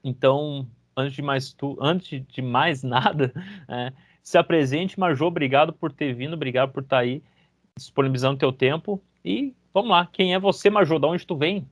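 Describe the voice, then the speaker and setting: A man's voice: fairly high pitch, normal speed, no room sound, clean studio sound. A man hosting a casual interview chat, enthusiastic and chatty, his voice jumping up when he greets. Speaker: podcast host.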